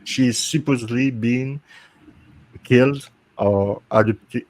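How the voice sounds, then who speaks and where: A man from Belgium with a French-speaking Belgian accent, hosting a casual video-call chat, hesitant with fillers and quick to laugh. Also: mid-range voice, a little mellow. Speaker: host. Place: home, streamed video call.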